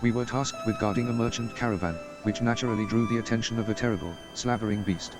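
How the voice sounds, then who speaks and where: A man's voice: mid-range voice, wildly swooping pitch, fast. An actor playing a timid young male adventurer, speaking earnestly and hesitantly, with game music behind him. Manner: earnest, hesitant, self-reproaching. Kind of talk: game voice acting.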